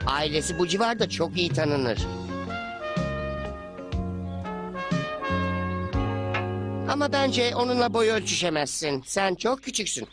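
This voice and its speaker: A voice actor plays a brash, incredulous schoolboy in a cartoon. The delivery swings widely in pitch and scoffs and exclaims, with music clearly behind it. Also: lightly acted. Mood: cocky. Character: brash, incredulous schoolboy, cheeky know-it-all. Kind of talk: animated cartoon dub acting. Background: background music.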